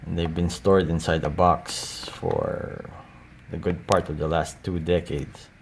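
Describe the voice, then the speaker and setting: Low gravelly male voice, very unhurried, hesitant casual narration, audible background noise. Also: fairly even intonation, rough recording. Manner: hesitant, halting, unscripted. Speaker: collector showing cassette tapes. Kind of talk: casual show-and-tell narration.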